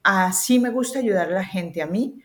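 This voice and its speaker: A woman with a Colombian accent, a communication coach, talking through her work in a relaxed podcast interview with the odd hesitant filler. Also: mid-range voice, moderate pace. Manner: articulate, explanatory, with hesitant fillers.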